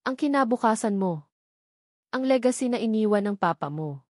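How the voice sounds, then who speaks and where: A woman's voice: a little high, expressive, moderate pace, no room sound, clean studio sound. A woman voicing a cold, controlling rich mother in a story, stern, challenging and persuasive. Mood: coaxing, firm. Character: cold, controlling rich mother defending her family name.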